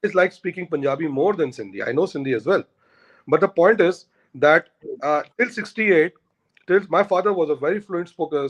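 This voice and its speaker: A man, an Urdu speaker, arguing his points emphatically in a conversational discussion. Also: fairly high pitch. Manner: emphatic, argumentative, repeats points. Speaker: guest.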